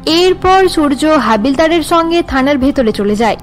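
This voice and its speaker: A woman's voice: sits high, lively intonation, moderate pace, faint music behind. A woman narrator reading scripted story narration for a cartoon drama.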